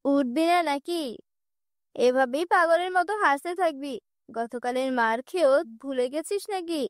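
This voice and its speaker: A woman's voice: high-pitched, measured pace, dry recording, clean studio sound. A woman voicing a cruel middle-aged stepmother in a cartoon fairy tale, harsh and scolding.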